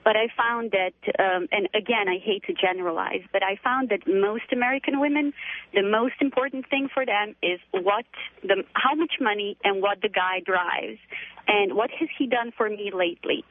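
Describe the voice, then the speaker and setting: A woman's voice: medium pitch, moderate pace. A woman from Croatia calling in to a talk show, speaking earnestly and with some indignation. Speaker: caller. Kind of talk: phone-in call to a talk show. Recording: tinny phone line.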